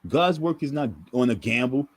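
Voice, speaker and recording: A man's voice: mid-range voice, moderate pace, dry recording. A man giving preachy casual commentary to his viewers, emphatic and repetitive in a sermon-like way. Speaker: online commentator.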